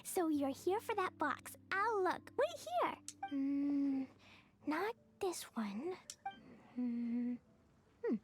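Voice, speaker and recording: Casting: innocent little girl, tiny very high voice, animated swings, naive and cheerful, game dialogue. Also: extremely slow, pauses often, slight background noise, heavily acted. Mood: earnest, innocent. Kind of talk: video game voice acting, childlike.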